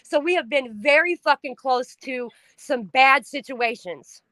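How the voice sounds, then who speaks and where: Loud, blunt, high-voiced woman. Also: a little slow, no room sound. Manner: loud, blunt and profane, voice rising when upset. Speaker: stream co-host. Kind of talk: emotional casual chat.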